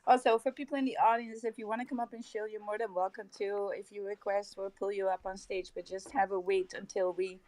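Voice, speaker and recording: A woman's voice: mid-range voice, steady tone, fairly quick, hardly any pauses, darkish tone, no room sound, poor audio. A woman with a Dutch accent co-hosting a crypto livestream, giving casual market commentary in an upbeat way, laughing often and using hype slang.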